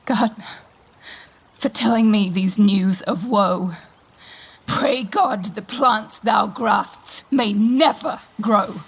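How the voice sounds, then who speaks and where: A woman's voice: medium pitch, slow, husky. A woman playing an anguished, indignant queen in a Shakespearean radio play, proud and commanding in declamatory verse. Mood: grieving, bitter. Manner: declamatory, imperious, formal verse delivery.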